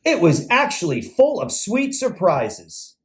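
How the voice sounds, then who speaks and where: A man narrating a picture book for young children in an animated read-aloud style. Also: high-pitched, slow.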